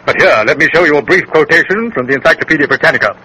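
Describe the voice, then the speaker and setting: An actor playing a grave, assured colonel in a 1930s radio drama, speaking in a formal, declamatory, lecturing way. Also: male voice, fairly high pitch, lively intonation, very fast, sharp tone. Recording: noisy 1930s radio recording.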